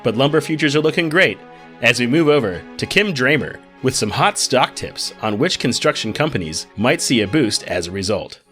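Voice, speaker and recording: A man playing an evening news anchor, reading scripted headlines in a measured, upbeat broadcast manner. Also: medium pitch, lively intonation, over music, close to an everyday voice. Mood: measured, newsy.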